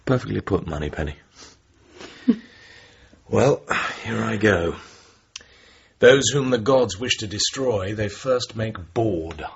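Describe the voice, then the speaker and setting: A cool, flirtatious English spy in a radio play. His voice is low and a little husky, with dry, understated wit. The sound is slightly dull. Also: animated, slow pace, long pauses, full character voice. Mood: wry, flirtatious. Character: suave, wry British male secret agent, cool and flirtatious. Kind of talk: radio drama acting.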